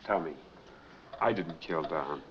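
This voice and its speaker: A man's voice: a bass voice, unhurried. A man playing an earnest, embittered fugitive in a 1940s film noir, talking in terse, urgent phrases. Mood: urgent, guarded. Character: wrongly accused man on the run, earnest, embittered. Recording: dull, noisy 1940s film soundtrack.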